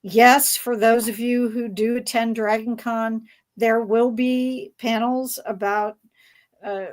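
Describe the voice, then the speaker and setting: A woman's voice: a little high, steady tone, slow. A woman hosting a casual fan panel, talking in a hesitant, rambling way as she searches for words. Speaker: panel host.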